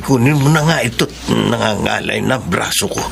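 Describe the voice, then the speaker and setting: A middle-aged man playing a plain-spoken, grumbling father in a radio drama, with a gravelly voice. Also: lowish voice, lively intonation, normal speed, a bit bright, slight background noise, heavily acted. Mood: weary, puzzled. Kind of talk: Tagalog radio drama acting.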